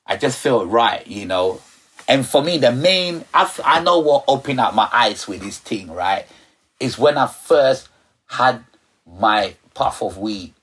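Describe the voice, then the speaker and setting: A man's voice: mid-range voice, lively intonation, unhurried, fairly bright tone, slightly rough. A middle-aged man from Ghana, a guest talking in a loose, rambling way, often saying "you know". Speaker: sound system operator, guest. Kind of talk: relaxed interview chat.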